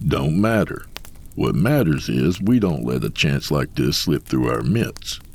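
An ancient coyote trickster posing as a rangy cowpoke. The voice is rock-bottom low and full of gravel, swinging widely in a folksy American drawl. He blusters and mocks in storybook fashion. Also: expressive, unhurried, darkish tone, exaggerated voice. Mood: blustering, impatient.

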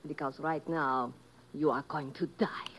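An actress playing a threatening foreign femme fatale in a 1950s radio drama, speaking stilted formal English, on an old recording with hiss. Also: female voice, low-pitched, fairly even intonation, a little slow. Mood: menacing.

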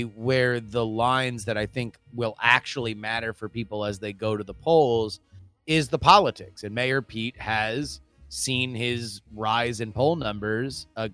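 A man co-hosting a casual political chat podcast. He talks in animated, emphatic bursts and stammers at times. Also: mid-range voice. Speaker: podcast co-host.

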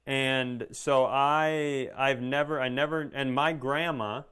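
A man's voice: mid-range voice, steady tone, slow, a bit bright, clear, resonant tone. A man co-hosting a comedy podcast, telling rambling, wry stories in casual chat.

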